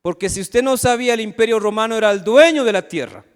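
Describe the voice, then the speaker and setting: High-voiced preacher in an echoing church. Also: male voice, quick pace, clear, resonant tone. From Ecuador. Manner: preaching with rhetorical questions and acted asides. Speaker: pastor. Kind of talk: sermon. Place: church sanctuary. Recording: long hall reverb.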